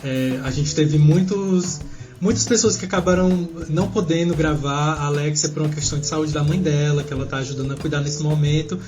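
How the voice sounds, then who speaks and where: A man's voice: a little high, fairly even intonation, fairly quick, over music. A man co-hosting a Brazilian podcast, speaking in an earnest, thankful way. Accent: Brazilian Portuguese. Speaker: podcast co-host.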